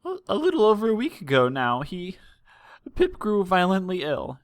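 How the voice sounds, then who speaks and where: A distraught cartoon mother pony, acted out by a man reading a story. The voice is high and breathy, with a tremble and frequent stammers. It pleads tearfully and swings widely in pitch. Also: huge pitch swings, no room sound, studio quality. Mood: tearful, grieving. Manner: halting, stammering through sobs. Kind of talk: fan fiction audiobook character voice.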